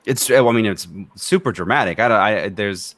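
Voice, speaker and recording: A man's voice: fairly low pitch, brisk, fairly bright tone. A man from Romania who co-hosts a film review podcast, talking casually, opinionated and freely swearing.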